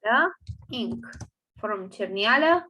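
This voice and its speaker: Woman teacher with a Romanian accent, a bit high-voiced, talks slowly and pauses to think while explaining grammar. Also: lively intonation, pushed and bright, slightly rough, poor audio. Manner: halting, explanatory, thinks aloud with fillers. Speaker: language teacher. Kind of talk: online grammar lesson.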